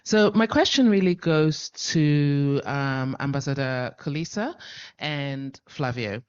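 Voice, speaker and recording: A man at a policy roundtable with a loud voice, speaking forthrightly and stressing his points by repeating them. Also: on the high side, slow, clean tone, lo-fi sound. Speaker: panel participant.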